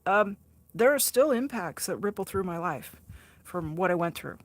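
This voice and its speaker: A woman's voice: lowish voice, lively intonation, normal speed, no echo. A woman in her sixties chatting casually on a livestream, answering viewer comments, laughing often and teasing herself. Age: 60s. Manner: chatty, laughs often, self-deprecating, frequent restarts. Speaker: art livestreamer reading chat.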